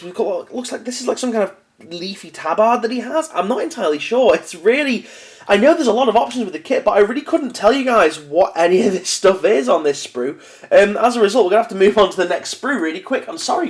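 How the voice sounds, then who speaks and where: A man's voice: high-pitched, expressive, fairly quick. A young man with a cold, chatting casually and apologetically to camera, full of fillers and restarts. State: stuffy with a cold. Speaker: miniatures hobby video host. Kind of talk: casual unboxing talk to camera.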